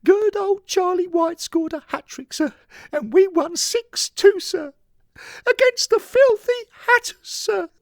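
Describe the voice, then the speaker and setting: A man voicing an eager, emotional young English lad in an audio story, excitable and deferential, forever adding "sir". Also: very high-pitched, takes it slowly, vocal fry, no echo, studio quality, voice pushed up, exaggerated voice. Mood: overjoyed, tearful. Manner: excitable, punctuates phrases with "sir". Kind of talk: scripted audio story monologue.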